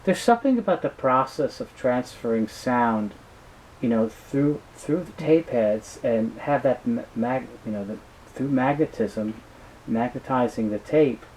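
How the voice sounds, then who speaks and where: A man being interviewed, talking casually and explaining things in a halting way with frequent restarts. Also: mid-range voice, lively intonation, a little mellow, a little hiss or hum, poor audio. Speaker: business founder being interviewed.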